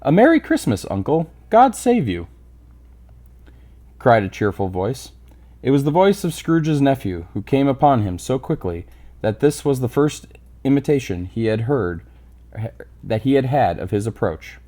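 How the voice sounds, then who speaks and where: A man reading a storybook aloud as expressive narration, leaning on words for dramatic emphasis. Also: mid-range voice, moderate pace. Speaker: streamer reading a book aloud.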